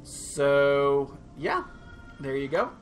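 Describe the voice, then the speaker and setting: A man's voice: mid-range voice, animated, very slow. A young man hosting a game playthrough, chatting casually in an informal, rambling way. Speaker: gaming channel host. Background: faint game music.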